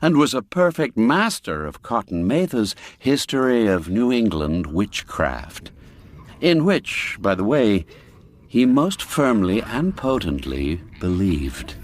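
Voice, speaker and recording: A man narrating a classic tale in a scripted storytelling style, with an expressive, lilting delivery. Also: on the low side, takes it slowly, a little hiss or hum.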